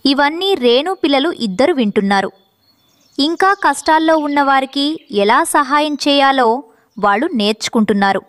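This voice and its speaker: A woman's voice: high voice, expressive, normal speed, fairly bright tone, dry recording. A woman narrator reading a scripted moral story for children.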